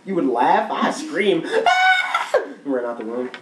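A man's voice: a little high, huge pitch swings, takes it slowly. A young man in casual joking banter, goofy and playful, filmed on a webcam in a small bare room. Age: young adult. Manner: goofy, playful, breaks into laughs and yelps. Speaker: co-host. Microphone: laptop webcam across the table. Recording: echoey room with background hiss.

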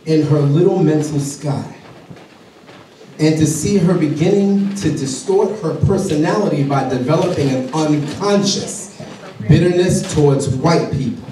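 Heard from far back in a very echoey hall, a man reads aloud to a seated audience. His voice is high and mellow, and he delivers the text like an orator, with deliberate phrasing and pauses. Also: slight background noise. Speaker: public reader at a community program. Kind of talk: dramatic public reading of a famous letter aloud. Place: large indoor hall, on stage before a seated audience. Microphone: stage microphone through speakers, recorded by far camera in audience.

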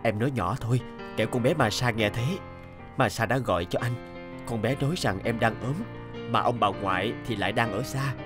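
An actor playing a hesitant, guilt-ridden married father in a cartoon drama, speaking haltingly. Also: medium pitch, lightly acted. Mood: casual, careful. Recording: background music under the voice.

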